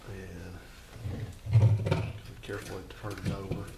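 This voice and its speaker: Low-voiced man rambling in an echoey garage. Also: completely flat delivery, a little slow. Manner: rambling, self-doubting, thinking aloud. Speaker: host of a home garage repair channel. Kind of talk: casual hands-on demonstration talk. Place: home garage. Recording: echoey, noisy garage recording.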